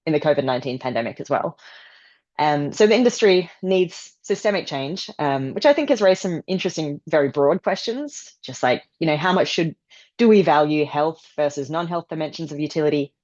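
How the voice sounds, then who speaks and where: Australian woman, low voice, upbeat webinar talk, lo-fi call audio. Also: a little mellow. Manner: friendly, upbeat, frequent ums. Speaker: PhD candidate presenting research. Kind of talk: webinar presentation. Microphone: video call. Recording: dull lo-fi video call audio.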